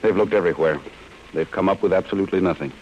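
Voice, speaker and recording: An actor with an American accent playing a cold, relentless chief insurance investigator in an old-time radio drama, speaking in a stern, deliberate way. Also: male voice, low voice, natural voice. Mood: grim, resolute. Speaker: actor in a radio detective serial. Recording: muffled, hissy old radio broadcast.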